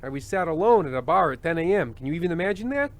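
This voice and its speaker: A man playing a world-weary, fretful television host with halting delivery in an old-time radio drama. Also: on the high side, sing-song. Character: anxious, world-weary male TV show host.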